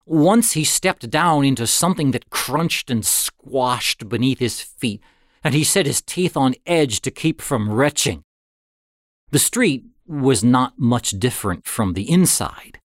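A man narrating a story in a deliberate, dramatic way, with expressive phrasing and suspenseful pauses. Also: mid-range voice, no echo, studio quality. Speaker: audiobook narrator.

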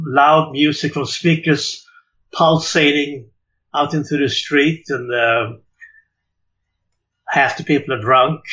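An older man from Sweden recalls his life in a relaxed interview. His voice is bright and forceful, and he stumbles through fillers and restarts. The audio sounds dull and lo-fi. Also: a little high. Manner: hesitant, many uh and repeated words. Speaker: jazz clarinetist guest.

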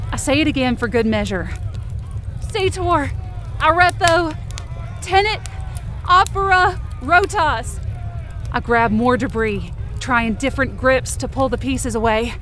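A woman acts out a determined, desperate young female rescuer in a supernatural fiction podcast. Her voice sits somewhat high. She narrates in the present tense with intense, emotive urgency. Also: takes it slowly, pauses often, a bit bright, a little hiss or hum. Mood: focused, strained, then urgent. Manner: intense, emotive, swearing under strain. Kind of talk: dramatic first-person present-tense audio drama narration.

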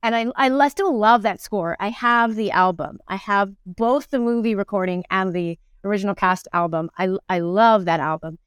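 Clear-voiced woman, close and dry. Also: medium pitch, normal speed, hardly any pauses, no room sound. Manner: animated, thinking aloud with repeated words and self-interruptions. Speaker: interview guest, musical theatre actor. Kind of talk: casual reflective conversation.

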